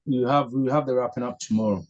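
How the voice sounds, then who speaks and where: A man hosting a reflective spiritual discussion over a video call, earnest and posing long searching questions with many pauses. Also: medium pitch, steady tone, darkish tone, muffled recording. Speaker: host leading a discussion.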